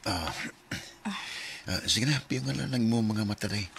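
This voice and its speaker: A man acting a gentle, patient, poor working father in a Filipino radio drama, apologetic and soothing, with a Cebuano accent. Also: on the low side, a little slow, creaky, slight background noise. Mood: soothing, weary.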